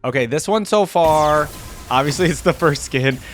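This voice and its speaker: A young man giving casual, expressive reaction commentary as a video host, easily amused. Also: fairly high pitch, moderate pace. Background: game audio and music.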